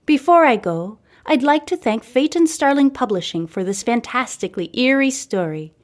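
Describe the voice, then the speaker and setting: A woman reading a scripted serial story aloud as a podcast host, with a measured, deliberate storytelling delivery. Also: sits high, lively intonation. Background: music.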